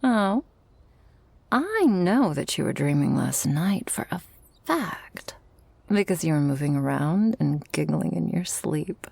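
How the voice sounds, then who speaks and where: A woman voicing an affectionate, protective, dominant shark girl in an intimate ASMR roleplay, with a breathy voice that often drops to a whisper. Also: sits low, sing-song, a little mellow, no room sound, heavily acted. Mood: playful, teasing. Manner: slow, teasing, tender and commanding.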